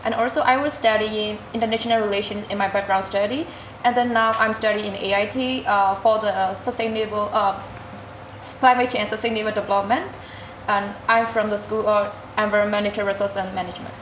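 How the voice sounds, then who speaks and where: A young woman, a student guest answering interview questions hesitantly, filling pauses with "like" and "um". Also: medium pitch, fairly even intonation, fairly bright tone, a little hiss or hum. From Myanmar. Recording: roomy echo.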